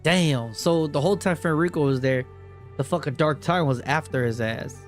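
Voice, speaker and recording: A man's voice: fairly high pitch, normal speed. Slangy male game streamer reacting. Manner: slangy, sweary, laid-back. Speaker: gaming streamer. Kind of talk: casual live reaction commentary. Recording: game music behind the voice.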